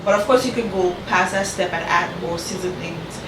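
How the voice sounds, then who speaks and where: A woman hosts a casual cooking vlog, her voice stuffy and nasal from a cold. She keeps checking in with her viewers with "you guys" and "okay". She is recorded from a distance in an echoey kitchen, with a clear hum of room noise behind her. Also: fairly low pitch, moderate pace. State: congested from a cold, talking through her nose. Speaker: cooking channel host. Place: home kitchen.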